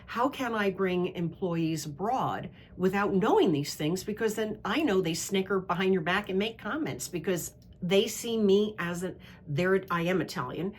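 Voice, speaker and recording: A woman's voice: medium pitch, moderate pace, hardly any pauses, a little hiss or hum. A middle-aged American-born woman who leads a women's travel club, telling stories in a candid, opinionated, digressive way. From United States. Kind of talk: interview answer, conversational monologue.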